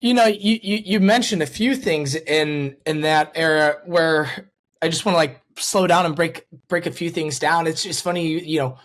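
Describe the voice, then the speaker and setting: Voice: medium pitch, normal speed. An interviewer hosting a casual sports conversation in a rambling, conversational and enthusiastic way. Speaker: podcast host and interviewer.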